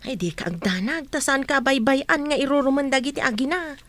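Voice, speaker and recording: High, bright, protective nanny played by a woman. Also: a little fast. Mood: comforting. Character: protective, loyal household nanny, respectful but firm. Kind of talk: Ilocano radio drama acting.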